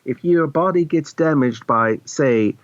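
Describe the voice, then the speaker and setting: A man's voice: a little high, unhurried, no echo, lo-fi sound, lightly acted. A man playing a matter-of-fact female spaceship computer who recites things formally, in a comedy radio play. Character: female ship computer co-host, matter-of-fact life-pod AI.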